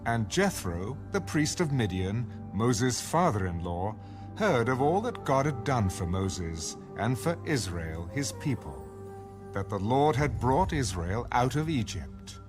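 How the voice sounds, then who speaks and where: A man's voice: mid-range voice, very animated, a little slow. A man reading scripture as the narrator of a dramatized audio Bible. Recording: music bed under the voice. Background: music.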